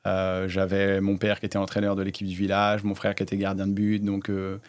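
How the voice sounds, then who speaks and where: A man in his thirties, a startup founder, telling his story in a relaxed way with many hesitations in a casual interview. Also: deep voice, a little fast. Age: 30s.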